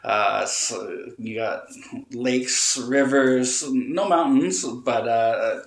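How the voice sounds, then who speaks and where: A man talking over a phone call as an interview guest, halting with many filler sounds and restarts. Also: medium pitch, vocal fry. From Canada. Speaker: guest, relocating client. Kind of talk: casual interview answers. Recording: roomy echo.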